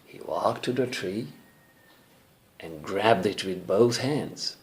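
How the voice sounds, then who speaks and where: A male English teacher slowly telling a folktale in simple English for learners, pausing to check in with listeners. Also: on the low side. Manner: slow, deliberate, checks in with listeners.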